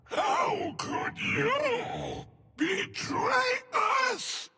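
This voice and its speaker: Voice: very high-pitched, huge pitch swings, bright, forceful tone, exaggerated voice. An actor in a video game voicing an uncanny scarecrow demon that mimics the cries of terrified victims in a distorted, harsh voice. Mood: terrified, pleading. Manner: drawn-out, eerie, uncanny mimicry.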